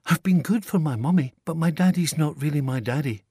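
A man voicing a matter-of-fact little girl in a high, quick child's voice. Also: darkish tone, husky, dry recording, clean studio sound.

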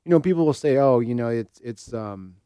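A man's voice: mid-range voice, lively intonation, brisk, mellow, smooth, dry recording, studio quality. An American man hosting an informal podcast discussion in an easygoing, conversational way. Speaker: podcast host and practicing therapist.

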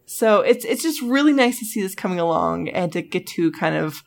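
A woman's voice: on the low side, lively intonation, normal speed. A woman co-hosting a casual gaming podcast, enthusiastic and full of "like" fillers. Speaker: podcast co-host.

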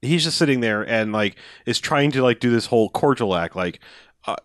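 A man's voice: medium pitch, animated, a little fast, no room sound, clean studio sound. A man hosting a casual movie podcast, rambling with lots of fillers and swearing.

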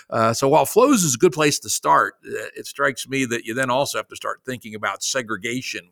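Low gravelly man, bright and dry. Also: dry recording. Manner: thoughtful, measured, digressive. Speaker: podcast host. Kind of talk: conversational interview.

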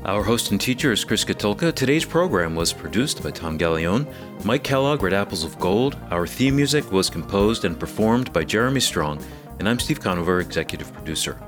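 Lively low-voiced radio announcer. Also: male voice, brisk, music in the background. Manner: polished announcer reading. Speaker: executive producer and announcer. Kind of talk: scripted radio credits.

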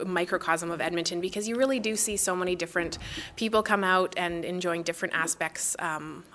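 Festival organizer, female, Canadian accent. Slightly low pitch, chatty and keen, informal interview delivery. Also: a little fast, fairly bright tone, a little hiss or hum. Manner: enthusiastic, chatty. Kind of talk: casual interview chat.

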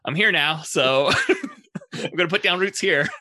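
A man's voice: fairly high pitch, measured pace, bright, forceful tone, no room sound. A man on a podcast panel, explaining pop culture in a casual, analytical and discursive way.

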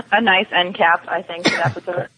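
A woman's voice: mid-range voice, normal speed, bright, forceful tone, slightly rough. A woman giving her opinions in a casual, conversational TV discussion as a podcast guest. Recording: dull phone-like lo-fi connection.

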